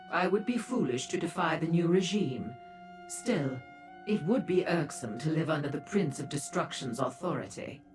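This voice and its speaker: A woman's voice: low-pitched, darkish tone. A woman voicing a sly, seductive and haughty female demon dealer in a fantasy video game, with a teasing manner.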